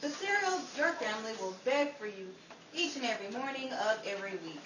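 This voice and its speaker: A woman's voice: on the high side, sing-song, fairly quick, roomy sound, recorded from a distance, noisy background. A woman playing a chipper announcer reading a scripted, retro radio commercial. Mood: upbeat, selling. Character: chipper cereal advert announcer.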